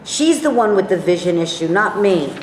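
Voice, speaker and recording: A woman's voice: medium pitch, sing-song, moderate pace, close to an everyday voice. A woman playing a sour, combative, cynical small businessman with curt retorts in a staged reading. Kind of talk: staged reading of comic dialogue. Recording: echoey hall, background noise.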